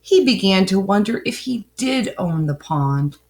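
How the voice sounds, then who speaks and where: A woman reading a children's picture book aloud as a storyteller, expressively and with deliberate phrasing. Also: mid-range voice, animated, darkish tone.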